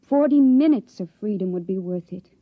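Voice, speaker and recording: A woman's voice: medium pitch, lively intonation, moderate pace, close to an everyday voice. Actress as young woman in forbidden love, earnest, pleading, melodramatic, dull vintage radio sound. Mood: yearning. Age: young adult. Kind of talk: 1950s radio drama acting.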